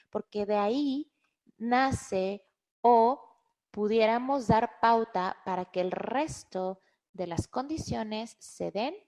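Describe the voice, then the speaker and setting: A woman's voice: medium pitch, darkish tone. Woman physician, webinar lecture over a computer mic, conversational with fillers. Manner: conversational, emphatic, with frequent fillers and restarts. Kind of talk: health lecture to an online audience.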